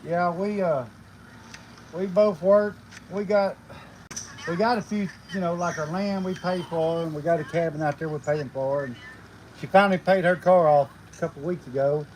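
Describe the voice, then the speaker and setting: A man's voice: fairly high pitch, fairly even intonation, moderate pace, a little mellow, slight background noise, rough recording. A man talking casually and rambling during a homesteading live stream, outdoors while sharpening blades. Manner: folksy, rambling, lots of fillers. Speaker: homesteading vlogger.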